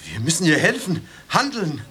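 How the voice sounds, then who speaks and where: A sharp, slightly high-voiced grumbler in a radio play. He is a fussy coward, peevish and indignant. A faint noise from old cassette lies under the voice. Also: wildly swooping pitch, moderate pace, full character voice. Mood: alarmed. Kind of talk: radio drama acting.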